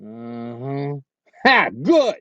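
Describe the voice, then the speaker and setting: A man's voice: mid-range voice, big pitch swings, extremely slow, sharp tone, no echo, highs cut off, heavily acted. A man playing a cruel, arrogant sci-fi villain who gloats and taunts, in a screenplay table read. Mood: gloating, taunting.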